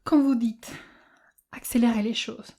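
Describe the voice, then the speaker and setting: High-voiced, desperate, resolute woman played by an actress. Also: steady tone, measured pace, a little mellow. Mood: wary. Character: desperate, resolute woman accountant turned kidnapper. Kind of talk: French audio fiction acting.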